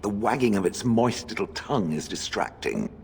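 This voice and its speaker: An actor playing a haughty, sardonic stone golem in a video game, gravelly and theatrical. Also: male voice, on the low side, big pitch swings, normal speed, darkish tone, exaggerated voice. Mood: dryly disdainful. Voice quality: gravelly, husky. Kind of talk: video game character acting. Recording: long reverb.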